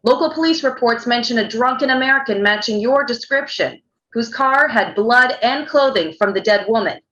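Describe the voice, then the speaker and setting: A woman acts a probing news reporter in a screenplay read. Her voice is bright and fairly high, pressing with accusation. The sound is echoey and a little dull. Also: lively intonation, moderate pace. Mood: pointed, accusing. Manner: pointed, accusatory questioning. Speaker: actor in a virtual table read. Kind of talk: screenplay table-read acting.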